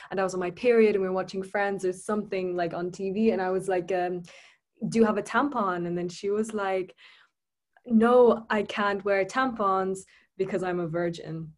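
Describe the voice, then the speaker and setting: A woman hosting a podcast over a laptop video call, talking casually and hesitantly with many pauses. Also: mid-range voice, fairly even intonation. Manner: hesitant, many fillers and restarts. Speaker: podcast host.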